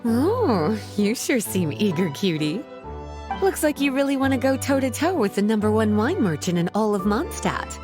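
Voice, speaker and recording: Teasing, lively sultry woman. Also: on the high side, moderate pace, over music. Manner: playful, teasing, articulate. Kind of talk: anime game voice acting.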